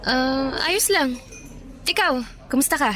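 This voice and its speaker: A woman with a Filipino accent playing a soft-hearted, apologetic young woman in a conversational radio drama, gently spoken. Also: high-pitched, measured pace, a crowd in the background. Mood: shy, friendly. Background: faint music.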